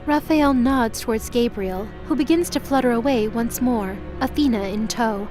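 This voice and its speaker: A woman's voice: fairly high pitch, normal speed. A woman reading scripted, dramatic fiction as the narrator of an audio drama. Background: music.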